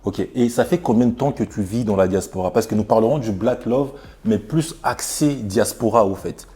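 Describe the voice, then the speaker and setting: A man's voice: lowish voice, moderate pace, dark tone. A man hosting an interview show in French, speaking informally and conversationally and often interjecting.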